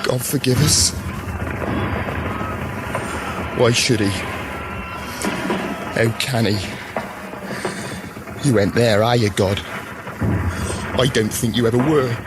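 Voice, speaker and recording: A man's voice: medium pitch, slow pace, long pauses, loud music behind the voice, lightly acted. A man playing a wry, haunted country soldier reciting folk-play verse, in a radio play. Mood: bitter. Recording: noisy radio drama recording.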